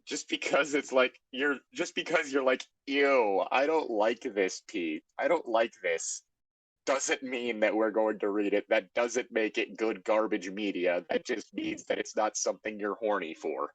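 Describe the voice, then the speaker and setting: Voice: mid-range voice, measured pace, no echo, rough recording. An exasperated, sardonic host casually reading fan fiction aloud and adding comic commentary.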